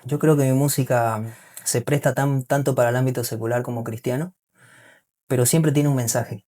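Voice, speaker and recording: A man's voice: mid-range voice, darkish tone. A man from Bolivia with a mixed Bolivian and Argentine accent, chatting casually as a podcast guest, explaining things earnestly and often checking that he is understood. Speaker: interview guest, singer and musician.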